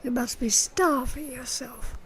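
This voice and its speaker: A woman's voice: high-pitched, steady tone, a little slow, a bit bright, a little hiss or hum. A woman playing a pompous, easily affronted middle-aged saleswoman in a radio sitcom, mock-refined with coarse slips. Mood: gossipy. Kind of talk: radio play sitcom comedy acting.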